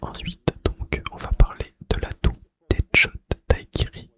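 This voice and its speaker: A man whispering in a breathy, unvoiced voice with mouth sounds, in an ASMR style, softly repeating phrases. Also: measured pace. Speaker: ASMR video creator and host.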